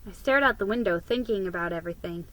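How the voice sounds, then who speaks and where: A woman giving a deliberate, theatrical reading of a children's story as its narrator. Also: mid-range voice, pushed and bright, low-quality recording. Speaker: storybook reader.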